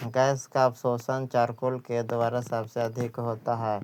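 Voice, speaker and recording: A man with an Indian accent teaching an exam-prep lesson, reading out questions and answers haltingly and repeating phrases. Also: mid-range voice, level tone, darkish tone, a little hiss or hum.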